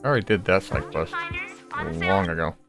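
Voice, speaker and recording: A woman's voice: extremely low pitch, brisk, music in the background. A woman giving casual gameplay commentary as a video creator, sweary and sardonic.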